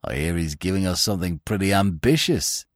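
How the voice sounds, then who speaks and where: A man reading aloud puts on a sly young gambler with a low, hushed, insinuating voice, dropping well beneath the narration and softer in level. He needles and hints with smooth, unhurried menace, in a dry, close recording. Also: animated, no echo.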